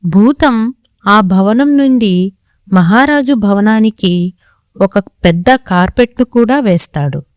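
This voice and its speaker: A woman's voice: medium pitch, expressive, slow pace, a little mellow, no echo, clean studio sound. A woman reading scripted children's story narration as the storyteller.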